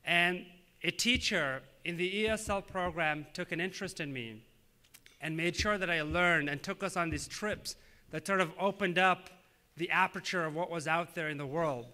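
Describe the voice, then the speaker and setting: Bright-voiced earnest man giving a speech. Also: a little high. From Tanzania. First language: Swahili. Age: 30s. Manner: earnest, measured, with frequent "uh" fillers. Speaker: government official giving a speech. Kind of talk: prepared public speech.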